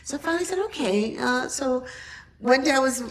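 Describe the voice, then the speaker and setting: A woman's voice: high-pitched, expressive, fairly quick, slight background noise. A woman guest on a podcast casually telling an anecdote, voicing quoted exchanges in a conversational way.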